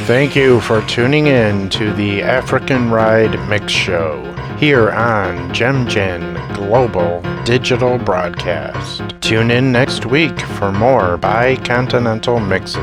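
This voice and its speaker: A man with a low voice delivers scripted promotional narration. He sounds like a show's host and announcer, with loud music running behind him. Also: slow, few pauses. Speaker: voice-over artist and radio show host.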